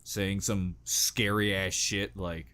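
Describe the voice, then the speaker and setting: A man's voice: low voice, flat delivery, slow, pushed and bright, smooth. A man giving casual reaction commentary, joking crudely and exclaiming in exaggerated squeamishness. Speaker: reaction video host.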